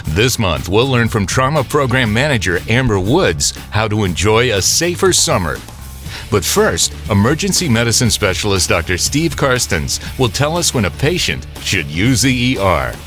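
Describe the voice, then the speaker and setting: A man's voice: fairly low pitch, big pitch swings, normal speed, a bit bright. A man presenting a newsreel with scripted narration. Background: music.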